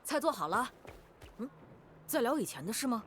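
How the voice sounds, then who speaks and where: A woman voicing a good-natured, teasing young cook in an anime-style game, playful and animated. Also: on the high side, normal speed, a little hiss or hum. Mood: cheerful.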